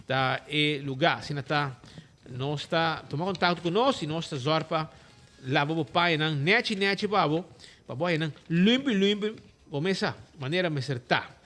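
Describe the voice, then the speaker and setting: Lively high-voiced Aruban man on radio. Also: normal speed. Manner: lively, rambling, mixes languages. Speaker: radio show host. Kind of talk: casual radio talk with ads.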